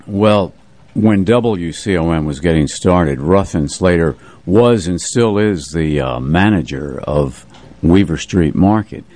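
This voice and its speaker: Man in his seventies, low pitch, dark warm tone, dry sound, conversational radio reminiscing. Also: dry recording. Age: 70s. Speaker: radio music show host.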